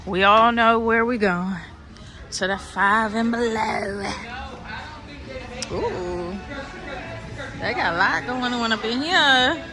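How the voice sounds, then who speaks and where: A woman's voice: fairly high pitch, slow pace, pauses often. Brief: playful mom vlogger chatting into a phone camera on the go, music running under her voice. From Maryland, USA. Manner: playful, chatty, laughing asides. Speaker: family vlogger. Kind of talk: casual vlog narration. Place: out and about, shops and car. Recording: music playing behind the voice.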